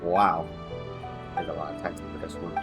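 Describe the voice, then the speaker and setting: A man giving casual livestream game commentary, halting and muttering his reactions to himself as he plays. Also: deep voice, flat delivery. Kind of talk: casual game commentary, reading dialogue aloud. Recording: game music behind the voice. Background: video game music.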